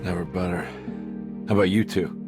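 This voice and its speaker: A man playing a weary, gruff private detective in a horror game. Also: deep voice, steady tone, a little fast, music in the background, close to an everyday voice. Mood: confused, wry. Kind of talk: cinematic horror game acting.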